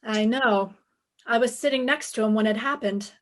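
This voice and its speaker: A woman's voice: a little high, normal speed, natural voice. A woman playing a brash, wisecracking young private detective in a retro radio drama, wry and self-assured. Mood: polite, direct.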